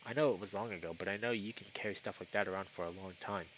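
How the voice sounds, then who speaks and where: A man voicing an earnest, gentle young male buck in a visual novel, speaking softly and hesitantly with concern. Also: deep voice, fast, muffled recording, a little hiss or hum. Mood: careful, gentle. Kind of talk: visual novel character read aloud by a streamer. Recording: music behind the voice.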